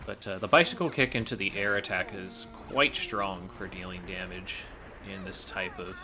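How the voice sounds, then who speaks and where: A man's voice: low-pitched, fairly even intonation, normal speed, music in the background. A man giving explanatory gameplay commentary as a speedrunner, analytical and matter-of-fact.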